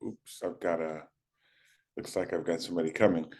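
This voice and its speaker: A man in his fifties from the United States, recalling his playing days in a relaxed, halting interview manner with many fillers. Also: deep voice, very noisy. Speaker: guest, former college running back. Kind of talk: casual interview talk.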